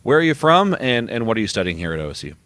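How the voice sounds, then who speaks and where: A male podcast host with a fairly low voice who talks quickly. He keeps the interview light and friendly, tossing out questions with casual fillers. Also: big pitch swings, a bit bright. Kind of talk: upbeat campus podcast interview.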